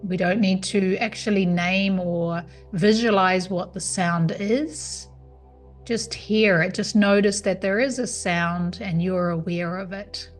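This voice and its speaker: Woman guiding a calm meditation over music. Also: mid-range voice. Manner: calm, soothing, unhurried instruction. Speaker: yoga nidra meditation guide. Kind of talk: guided meditation.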